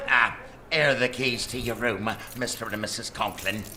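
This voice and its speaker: A man playing a gnarled, creepy desk clerk in a live radio comedy-horror, halting and obsequious. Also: medium pitch, bright, forceful tone. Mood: creepy, pleased. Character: gnarled, creepy, slow-witted male hotel desk clerk. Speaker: actor. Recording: live stage recording, background noise.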